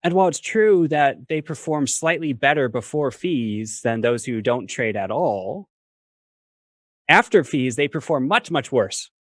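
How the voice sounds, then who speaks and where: A man speaking as a guest expert on a video call, explaining step by step in a measured, academic way with worked examples. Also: medium pitch, animated, no echo, studio quality. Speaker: finance professor, guest expert. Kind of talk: explanatory talk on a livestream.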